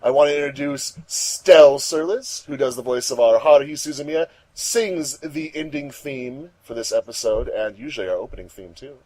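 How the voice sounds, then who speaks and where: A man with a middle-pitched voice hosts in a casual style. His delivery is upbeat and announcer-like, with emphatic stresses on key words. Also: moderate pace, hardly any pauses, a little mellow, rough recording. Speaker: host of a fandub commentary track. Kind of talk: casual introductions and chat.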